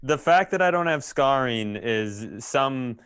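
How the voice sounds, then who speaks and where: A man's voice: medium pitch, lively intonation, slow, fairly bright tone, smooth, dry recording, clean studio sound. A man co-hosting a comedy podcast, riffing through casual stories with exaggeration and plenty of swearing. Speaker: podcast co-host. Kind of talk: casual comedic banter and storytelling.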